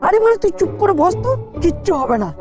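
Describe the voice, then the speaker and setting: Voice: extremely high pitch, big pitch swings, quick pace, music in the background. A performer voicing a cocky schoolboy exam cheat in a comedy cartoon, crude and slangy.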